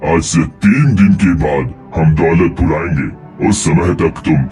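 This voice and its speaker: A man's voice: low voice, slow, dark tone, faint music behind. A man playing a grave, sly false ascetic in a cartoon moral story, speaking formally and solemnly. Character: royal prince in disguise as a holy ascetic, grave, sly.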